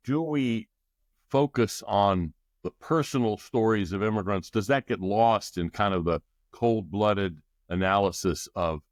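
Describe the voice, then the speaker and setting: Middle-aged male guest, measured explainer, dry close recording. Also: on the low side, dry recording. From United States. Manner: thoughtful, explanatory with restarts. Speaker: guest expert. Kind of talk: podcast interview.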